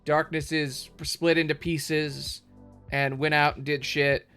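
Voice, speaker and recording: A man giving casual, slangy live commentary as a streamer, thinking out loud. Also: fairly high pitch, little pitch movement, slow pace, clear, resonant tone. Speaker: streamer reacting to a game story. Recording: music playing behind the voice.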